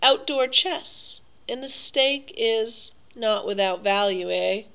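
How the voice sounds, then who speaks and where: A woman reading a story aloud, voicing a suave and cruel aristocratic male Cossack hunter with silky, courteous, drawn-out phrasing. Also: fairly high pitch, gravelly, fairly bright tone, exaggerated voice. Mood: enthusiastic.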